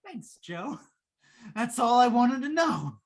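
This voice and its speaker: A woman's voice: on the high side, big pitch swings, full character voice. A woman playing a jittery, wheedling, wisecracking repairman in a 1950s radio play, talking in slangy patter. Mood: relieved, smug. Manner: slangy fast-talking gangster-style patter. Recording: noisy live radio play stream.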